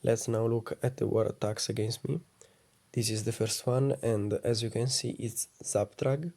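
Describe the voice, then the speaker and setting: A man talks over game footage in a low, soft voice. His tone is dark and gravelly. He explains each move in a calm, methodical way. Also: moderate pace. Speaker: gaming channel host. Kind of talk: gameplay commentary voice-over.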